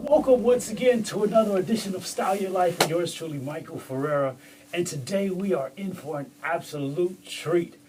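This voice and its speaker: A man's voice: high voice, a little fast, over loud music, poor audio. An enthusiastic man hosting an interview podcast, often repeating words as he starts his questions. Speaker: podcast host.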